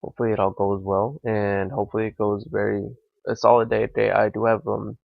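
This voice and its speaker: Raspy, slightly sick young man. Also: on the low side, little pitch movement, a little fast, no room sound, narrow, tinny audio. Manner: thinking aloud, with frequent fillers. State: slightly hoarse from illness. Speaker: gaming commentator. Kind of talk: live competitive game commentary.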